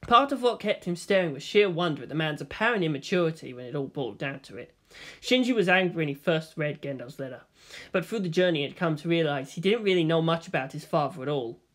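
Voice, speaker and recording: A narrator reading fiction aloud as a dramatic scripted narration, with a medium-pitched voice. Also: lively intonation, fast. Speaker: story narrator.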